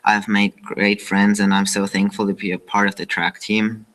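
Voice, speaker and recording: A teenage exchange student from Austria, giving a measured, formal prepared thank-you speech. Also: male voice, lowish voice, little pitch movement, a bit bright. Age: teens.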